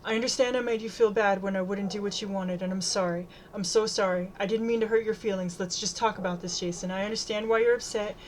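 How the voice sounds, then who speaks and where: A woman's voice: mid-range voice, fairly even intonation, fast, hardly any pauses, a little hiss or hum, natural voice. A woman voicing a frightened teenage schoolgirl in an audiobook, pleading urgently and desperately. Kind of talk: audiobook character dialogue.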